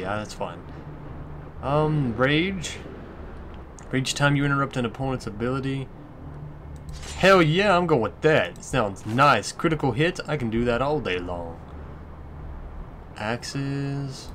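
A male let's player thinks out loud in a casual, sweary way. His voice is mid-pitched, and faint game noise sits behind it. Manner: crude swearing, muses aloud. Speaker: let's play commentator. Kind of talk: casual gameplay commentary.

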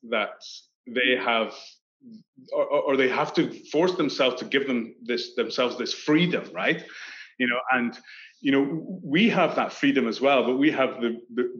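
Scottish guy chatting on a video call, animated, lots of restarts, dull lo-fi sound. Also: mid-range voice, measured pace. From Scotland. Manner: animated, gestures with hands, many restarts. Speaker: architect guest. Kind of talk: casual interview chat. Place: indoor room on video call. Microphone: video call webcam.

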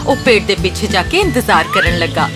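Female narrator for children's stories, animated and swooping, slightly high, over loud music. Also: a little fast. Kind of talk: scripted children's storytelling narration.

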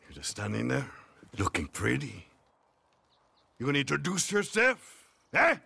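An actor playing a swaggering older outlaw in a video game, taunting and suspicious. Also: male voice, mid-range voice, wildly swooping pitch, a little slow, creaky, sharp tone, husky, dry recording, exaggerated voice. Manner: taunting, suspicious, mixes in Spanish words.

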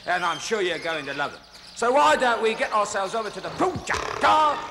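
A man's voice: high-pitched, background noise. An actor playing a jolly, chatty male zoo host who gushes to the audience and coos at the animals. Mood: bustling. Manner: chummy, gushing, talks to the audience and animals. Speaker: zoo show host character. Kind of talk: comedy sketch acting.

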